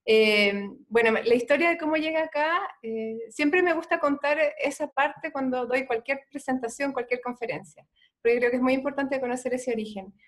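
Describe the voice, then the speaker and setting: A woman's voice: high-pitched, moderate pace. A woman from Chile with a Chilean Spanish accent, a doctor guest telling her story in an earnest, conversational way with many "eh" fillers.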